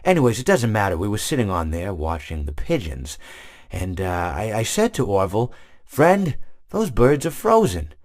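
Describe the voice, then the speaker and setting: A rambling, long-winded animatronic hippo. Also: male voice, on the low side, very animated, normal speed, darkish tone. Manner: rambling, many restarts, meandering anecdotes.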